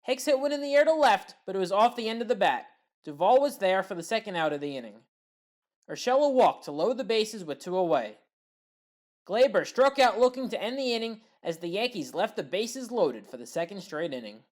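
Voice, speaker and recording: A woman narrates baseball highlights over footage in a steady play-by-play read. Her pitch sits in the middle of the range. She roots openly for the home team and drops dry side comments between the plays. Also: brisk. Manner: partisan home-team fan with wry asides.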